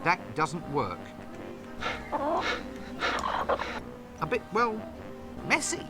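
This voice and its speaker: Wry British cartoonish game wizard, bumbling and sarcastic, highish voice leaping about, music behind. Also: male voice, very animated, a little slow. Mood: puzzled. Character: bumbling, wisecracking, cowardly male wizard, wry and exasperated. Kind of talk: point-and-click adventure game voice acting.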